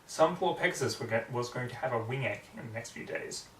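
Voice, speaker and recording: A man's voice: medium pitch, expressive, brisk, fairly bright tone, far from the mic, a little hiss or hum. A man reading a story aloud as narrator in a hammy, theatrical style. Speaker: amateur story reader. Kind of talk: hammy fan fiction narration. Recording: echoey room, off the mic.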